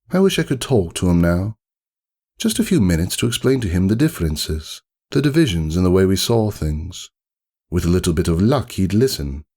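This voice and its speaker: Casting: man to play haughty noble unicorn, storybook narrator, low register, sweeping intonation, theatrical and brooding. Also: darkish tone, full character voice. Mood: pensive, regretful. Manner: pompous, wordy, theatrical, ruminative. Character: haughty, melancholy aristocratic male unicorn narrating his story. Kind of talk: dramatic storybook reading, first-person monologue.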